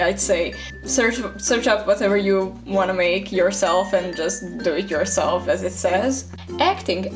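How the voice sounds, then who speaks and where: Teen girl over music. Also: mid-range voice. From Croatia. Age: teenager. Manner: friendly, casual, scattered filler words. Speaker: vlogger. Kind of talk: chatty list-style video voice-over. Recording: voice-over with clear background music. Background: background music.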